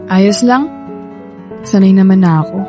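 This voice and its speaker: A woman playing a hesitant, soft-hearted young woman in a Filipino radio romance, speaking with a Filipino accent. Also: medium pitch, unhurried, close to an everyday voice. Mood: modest, resigned. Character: young woman torn between boyfriend and friend. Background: background music.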